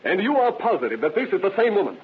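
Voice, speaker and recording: An actor playing a pressing, emphatic defense attorney in an old radio drama. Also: male voice, high voice, sing-song, fast talker. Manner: pressing, emphatic cross-examination. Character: sharp, challenging male defense attorney. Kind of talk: 1940s radio acting. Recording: thin, muffled old radio recording.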